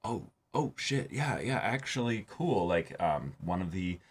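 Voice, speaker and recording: A man's voice: low-pitched, big pitch swings, unhurried, slightly rough. A man in a casual fan discussion as co-host of a reaction show, talking in an enthusiastic, rambling way with stammered phrases and the odd casual swear.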